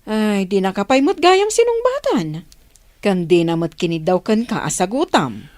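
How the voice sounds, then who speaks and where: An actress plays a blunt, teasing woman confidante. Her medium-pitched voice swings animatedly in this radio drama performance, recorded dry. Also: very animated, normal speed, darkish tone, dry recording, natural voice.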